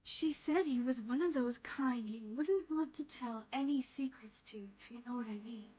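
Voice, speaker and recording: A woman's voice: a little high, dark tone, slightly rough, highs cut off. A woman playing a flirtatious, sly tavern courtesan in a fantasy game, teasing and coy. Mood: teasing, gossipy. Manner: teasing, coy, sultry drawn-out endearments. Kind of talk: scripted game character dialogue.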